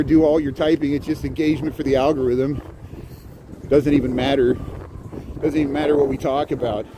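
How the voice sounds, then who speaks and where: A man from southern Indiana, mid-pitched, talks his way through a walking livestream in a loose, rambling manner, picking up viewer comments as he goes. He sounds like he is on a phone held while walking, with faint city traffic murmuring outdoors. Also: dark tone, low-quality recording. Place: outdoors on cold city streets. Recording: faint street noise outdoors. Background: city traffic and street ambience.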